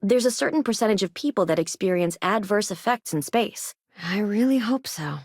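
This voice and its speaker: A woman's voice: mid-range voice, expressive, moderate pace, darkish tone, no echo, clean studio sound. A woman playing a firm, caring frontier doctor in a space game, plain-spoken and casual in her delivery. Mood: measured, professional. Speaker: video game character. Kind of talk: acted video game dialogue.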